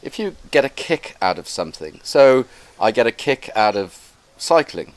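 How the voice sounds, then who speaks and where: A man's voice: medium pitch, sing-song, moderate pace, no echo. A man hosting a casual English lesson as the teacher, prompting with questions and clues.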